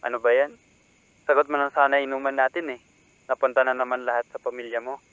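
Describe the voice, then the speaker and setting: A man's voice: medium pitch, level tone, fast talker, smooth, no echo. A man with a Filipino accent playing a teasing young friend on a phone call in a radio drama, through a telephone filter. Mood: teasing, complaining. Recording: thin phone-line sound.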